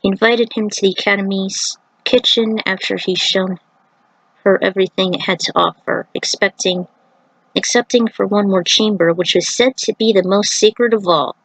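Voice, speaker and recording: A woman reads a story aloud in an informal, chatty style, slipping between narration and casual commentary. Her pitch sits in the middle of the female range. The sound is very dry, with little room echo. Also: steady tone, normal speed, a bit bright. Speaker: narrator reading own fan fiction. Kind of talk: story reading with casual asides.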